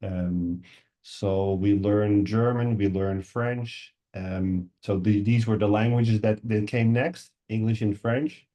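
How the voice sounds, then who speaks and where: Low, mellow Dutch-accented man. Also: steady tone, slow pace. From Netherlands. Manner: hesitant, many ums and uhs. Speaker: guest English teacher. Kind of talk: podcast interview.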